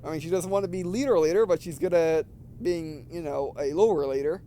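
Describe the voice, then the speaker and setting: A man reading game text aloud and commenting casually as he plays, halting and stumbling over words, with some background noise. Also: a little high, mellow. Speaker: gameplay video host.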